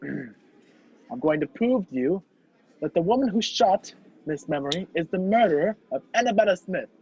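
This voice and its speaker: A man playing a determined, wrongly accused gentleman on the run in an amateur radio play, over a video call. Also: high-pitched, expressive, moderate pace. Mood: urgent. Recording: dull, lo-fi video-call audio.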